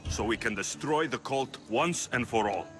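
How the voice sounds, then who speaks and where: A young actor playing a steady, earnest mercenary hero in a video game cutscene. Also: male voice, mid-range voice, normal speed, close to an everyday voice. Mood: grim. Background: music.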